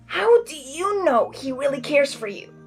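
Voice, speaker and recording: A woman's voice: high voice, very animated, unhurried, gravelly, soft background music, voice pushed up, heavily acted. A woman voicing a blunt, skeptical, tomboyish young female cartoon pony with a frank, casual delivery. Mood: doubtful.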